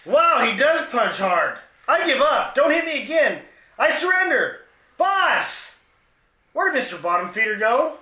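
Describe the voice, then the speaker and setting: A man's voice: very high-pitched, sing-song, unhurried, pushed and bright, reverberant, slight background noise, heavily acted. A man playing a dim, mild-mannered villain's henchman in a comedy western radio play, hesitant and naive. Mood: cowed.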